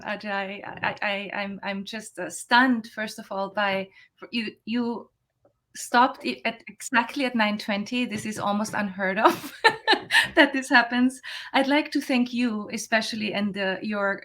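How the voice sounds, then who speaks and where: A woman's voice: medium pitch, a bit bright. Earnest woman giving keynote online. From Austria. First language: German. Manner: earnest, emphatic, halting with restarts. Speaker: keynote speaker. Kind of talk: academic keynote address. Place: remote, online conference. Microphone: video call.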